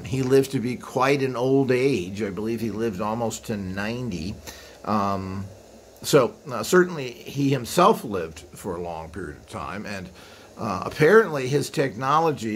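A man hosting an informal hands-on walkthrough, explaining things in a rambling way as he films indoors. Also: medium pitch. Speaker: host of a vintage equipment video channel. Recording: clear background noise, room ambience.

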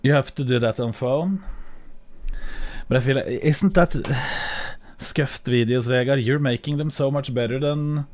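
A Norwegian-accented man in his thirties talks to his live chat at an unhurried, halting pace, full of "uh" and restarts. He speaks close into a studio microphone at his home streaming desk. His voice sits at an ordinary pitch for a man. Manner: slow, hesitant, many fillers and restarts. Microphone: studio mic on boom arm, headphones.

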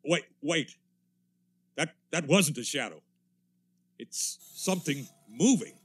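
An actor playing a confident militia captain reporting from the field in a 1930s-style radio drama, in a clipped military manner. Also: male voice, on the high side, expressive, slow, husky, heavily acted. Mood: rising alarm.

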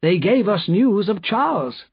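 A man voicing a calm, assured doctor who was once a prisoner, earnest and measured, in a storybook reading. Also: sits high, gravelly, husky, no echo, dull audio, voice pushed up, full character voice.

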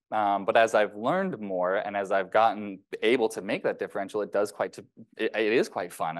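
A man in his thirties speaks to a ceremony audience in a loose, chatty keynote style. His voice sits a little low, and he often pauses on fillers and restarts his sentences. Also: clean tone. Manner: casual, many fillers and restarts. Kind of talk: conversational keynote speech.

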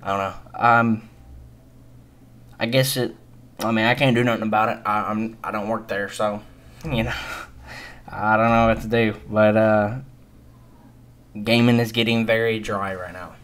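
A man's voice: on the low side, fairly even intonation, unhurried, long pauses, fairly bright tone. A man of twenty-one giving casual, unscripted gaming commentary in a hesitant, rambling and resigned manner. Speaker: gaming video creator.

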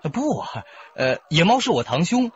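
A man's voice: on the high side, moderate pace. An actor playing a talkative young rural man who defends his cousin under questioning. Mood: chatty. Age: young adult. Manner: chatty, animated, defensive. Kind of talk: broadcast radio drama acting. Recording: dull lo-fi broadcast audio.

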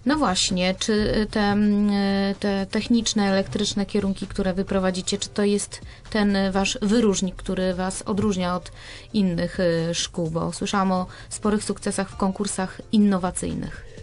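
A woman's voice: medium pitch, level tone, measured pace, a little mellow. A woman hosting a radio interview and putting questions to a guest in a studio. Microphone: studio microphone.

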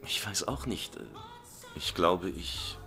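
An actor playing a playful, easygoing young husband in a dubbed sitcom, with a jokey manner. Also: male voice, low voice, slow, faint music behind, heavily acted. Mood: tender. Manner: jokey, excitable.

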